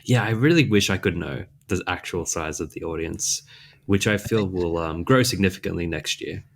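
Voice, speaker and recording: Deep-voiced man, mild Australian accent. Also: normal speed, vocal fry. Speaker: podcast co-host. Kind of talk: casual chat.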